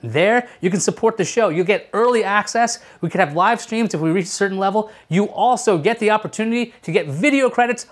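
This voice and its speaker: A man's voice: high-pitched, brisk, few pauses. Chatty man hosting a tech video. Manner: chatty, explanatory, with rhetorical questions. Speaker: tech show host. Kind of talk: casual product review to camera. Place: small furnished room at home, indoors.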